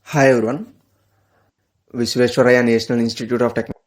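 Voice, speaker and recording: Hesitant young man with a flat delivery. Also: mid-range voice, fast. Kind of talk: recorded self-introduction.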